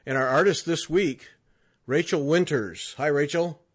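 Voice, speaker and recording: A man's voice: fairly high pitch, a little slow, husky. A man hosting a podcast, chatting casually with a jokey, teasing manner as he interviews a guest. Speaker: podcast host.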